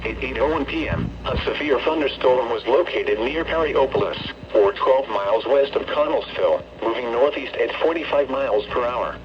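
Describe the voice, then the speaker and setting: A synthetic man's voice reading a scripted weather warning bulletin in a formal, flat, clipped way as a radio announcer. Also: mid-range voice, moderate pace. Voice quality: synthetic text-to-speech voice. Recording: muffled, noisy weather radio with hum.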